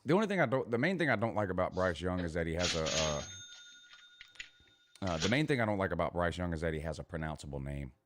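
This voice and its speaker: A man's voice: sits low, big pitch swings, fast talker, noisy background. A man with a Southern US accent hosting a casual sports banter show, emphatic and boastful, repeating himself and swearing freely. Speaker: morning show host.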